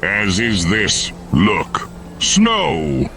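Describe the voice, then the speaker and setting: A man voicing a huge, jolly, drunken alien reveler in a gruff, slurred voice for an audiobook. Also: fairly low pitch, wildly swooping pitch, extremely slow, pushed and bright, soft background music, exaggerated voice. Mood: boisterous, merry. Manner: slurred, hiccuping drunk delivery.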